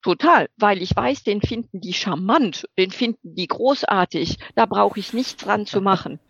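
A woman working as an interpreter, answering interview questions in a lively, emphatic way and laughing often. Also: medium pitch, moderate pace, creaky, husky, no room sound. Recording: dull lo-fi recording.

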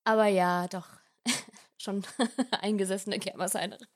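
Woman, twenties, average pitch, casual chat, giggly manner, podcast guest. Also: fairly even intonation, measured pace. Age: 20s. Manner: giggly, often laughing while talking.

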